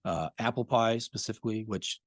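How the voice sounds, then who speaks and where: Low, husky American man, dry close sound. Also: fairly quick, no echo. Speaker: podcast guest, audio drama creator. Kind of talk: casual interview chat.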